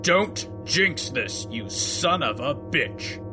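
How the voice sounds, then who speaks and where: A man's voice: mid-range voice, animated, slow, pushed and bright, faint music behind, exaggerated voice. A man playing a brash, competitive, muscle-bound army sergeant full of boastful bravado in a comic radio adventure. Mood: incredulous. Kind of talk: parody radio adventure acting.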